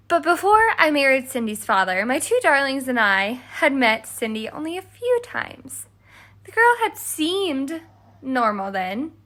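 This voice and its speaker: Woman reading as a haughty, high-pitched stepmother. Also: sharp tone, full character voice. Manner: haughty, dramatic, emphatic. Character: exasperated, self-justifying stepmother telling her side. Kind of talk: scripted children's storybook read-aloud.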